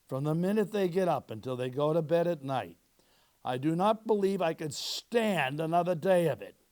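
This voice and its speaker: A man voicing a weary mother who speaks in a low, calm voice. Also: moderate pace, lightly acted.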